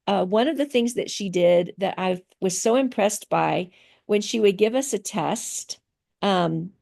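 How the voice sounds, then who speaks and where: Slow, thoughtful woman with a gravelly edge. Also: medium pitch, darkish tone. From grew up in Thailand. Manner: slow, thoughtful, with many pauses and fillers. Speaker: interviewee, teacher. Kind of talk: casual interview answers.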